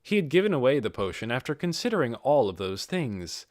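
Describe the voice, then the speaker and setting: A man's voice: mid-range voice, sing-song, fairly quick, dry recording, studio quality. A man reading a fantasy novel aloud as scripted audiobook narration. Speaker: audiobook reader.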